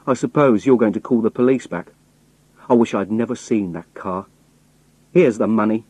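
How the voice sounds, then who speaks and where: A man voicing a hard-up sailor in a story, rough, pleading and defiant as he protests. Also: fairly low pitch, normal speed, warm tone, dry recording. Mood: rough indignation.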